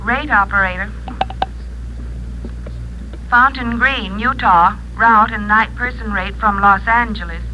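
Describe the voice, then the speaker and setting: A woman's voice: medium pitch, takes it slowly, long pauses, sharp tone. An actress playing an impersonal long-distance telephone operator reciting her routine in a 1940s radio drama. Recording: dull telephone line, faint noise.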